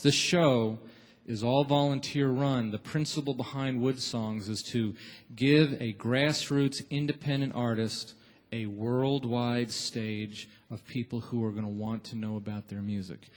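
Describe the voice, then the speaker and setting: In a big, reverberant theater, a man speaks from the stage as a show's host. His voice sits at a middling pitch, and he addresses the audience informally and encouragingly, in stop-and-start phrases, like an emcee warming up the house before a taping. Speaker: radio show host and emcee. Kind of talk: warm-up announcements to a live theater audience. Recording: echoey theater hall with long reverb.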